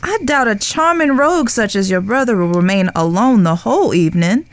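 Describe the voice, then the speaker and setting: A woman's voice: mid-range voice, expressive, a little fast, no echo, lightly acted. Woman playing an elegant, flirtatious frontier lady. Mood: gracious, coy. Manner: genteel, formal, coy. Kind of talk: western audio drama acting.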